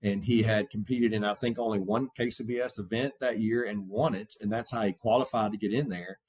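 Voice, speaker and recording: A man's voice: fairly low pitch, fairly even intonation, brisk, darkish tone, slightly rough. A man who competes in barbecue, a podcast guest telling a long, rambling story in a casual way. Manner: talkative, rambling anecdotes.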